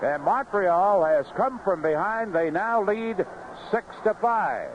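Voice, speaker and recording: 70s radio baseball guy, muffled noisy recording, clipped play-by-play calls, voice really moves up and down. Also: animated. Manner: clipped phrases, pausing between pitches. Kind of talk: live radio sports commentary. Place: outdoor ballpark broadcast booth. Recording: noisy, muffled vintage 1970s radio broadcast.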